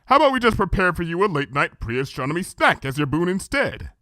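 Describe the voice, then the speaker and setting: Man acting a booming, high-pitched royal pony princess. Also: very animated, fast talker, pushed and bright, dry recording. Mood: regal, insistent. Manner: grandiose, declaiming in archaic royal speech. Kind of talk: storybook fan fiction character reading.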